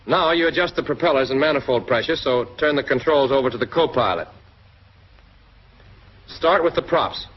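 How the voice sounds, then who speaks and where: A man's voice: mid-range voice, moderate pace, pauses often, lo-fi sound. An American man narrating a 1940s military instructional film, addressing the trainee directly and instructively on an old film soundtrack.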